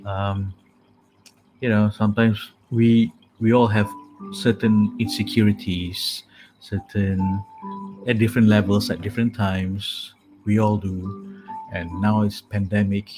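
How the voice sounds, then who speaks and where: Slow, soft-spoken man over music. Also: lowish voice. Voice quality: calming, soothing. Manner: soft-spoken, hesitant with many fillers. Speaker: host. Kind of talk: casual spoken sleep meditation talk. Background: calming music.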